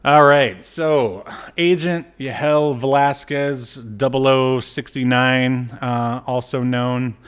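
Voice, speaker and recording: A man talks as a podcast co-host in a casual review chat. His voice sits at a medium pitch, and he speaks in a halting, rambling way. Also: fairly even intonation, smooth.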